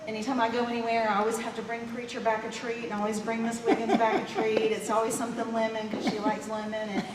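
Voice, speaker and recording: A woman's voice: on the high side, completely flat delivery, heavy background noise. Distant woman in an echoey church hall. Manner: halting, with many "um"s and "you know"s. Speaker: church member giving a tribute. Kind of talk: emotional, halting tribute speech. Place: large church fellowship hall.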